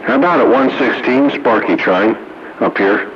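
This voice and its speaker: A man's voice: lowish voice, normal speed, dull audio. A man on CB radio, chatting casually in laid-back operator slang. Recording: noisy CB radio with background hiss.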